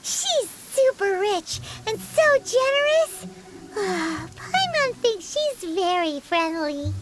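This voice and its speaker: A woman voicing a tiny, excitable, childlike floating girl companion in a video game, with chirpy, exclamatory delivery. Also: extremely high pitch, heavy background noise, heavily acted. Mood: awed. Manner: speaks of self in third person, exclamatory. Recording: loud game music behind.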